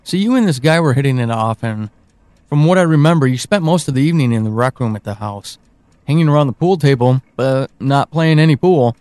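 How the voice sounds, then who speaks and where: A man playing an evasive, uneasy friend in an audio drama, speaking in a halting, confessional way. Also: medium pitch, animated, darkish tone, clear, resonant tone, no room sound, close to an everyday voice. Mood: wry recounting. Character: evasive male friend, uneasy, withholding a troubling memory.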